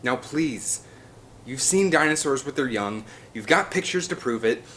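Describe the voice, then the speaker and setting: A man playing an exasperated, protective father in an audiobook, urgent and forceful. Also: mid-range voice, expressive, a little slow, a little hiss or hum, voice pushed up. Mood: exasperated pleading. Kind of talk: audiobook character dialogue.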